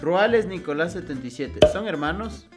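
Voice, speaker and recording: Un hombre de voz algo aguda y acento ecuatoriano lee con rapidez nombres y preguntas de seguidores. Detrás suena música de guitarra muy fuerte, casi al nivel de su voz. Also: male voice, high voice, lively intonation. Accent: Ecuadorian Spanish. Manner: reads viewer names and questions briskly. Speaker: question reader. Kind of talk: rapid-fire Q&A reading. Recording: loud background music.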